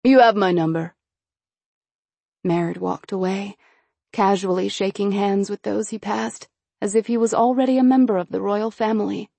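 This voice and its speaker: A woman narrating a first-person novel in a measured storytelling manner. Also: mid-range voice, fairly even intonation, creaky, mellow, no room sound. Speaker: audiobook narrator.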